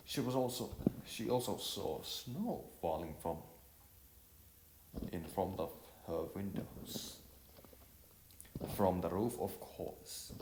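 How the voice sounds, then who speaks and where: Deep, lively male storyteller in an echoey room. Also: noisy background. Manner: stumbles and rereads phrases. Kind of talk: amateur narration of an audiovisual novel. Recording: roomy echo, faint music behind.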